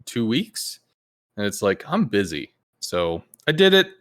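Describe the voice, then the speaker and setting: A man hosting a casual gaming podcast, laid-back and profane, who jumps into high yelled voices when quoting other players. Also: big pitch swings, unhurried. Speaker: podcast host.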